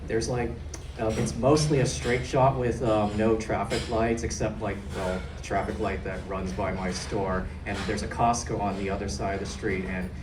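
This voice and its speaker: A man's voice: lowish voice, fairly even intonation, normal speed. A man in the audience asking a question, hesitant, with many ums and likes. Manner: hesitant, full of um and like. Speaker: audience member. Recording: roomy, distant, noisy hall.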